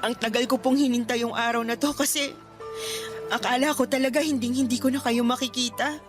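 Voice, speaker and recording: An actress playing a devoted, affectionate foster daughter in a Tagalog radio drama, polite and emotional. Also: female voice, fairly high pitch, steady tone, a little fast, close to an everyday voice. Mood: tearful longing. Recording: clear background music under the dialogue.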